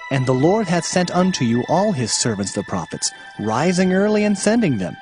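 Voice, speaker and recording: A man's voice: a little high, big pitch swings, moderate pace, a little mellow, soft background music. An actor playing an impassioned male prophet warning his people, emphatic and solemn in a dramatized scripture reading. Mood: reproachful, stern.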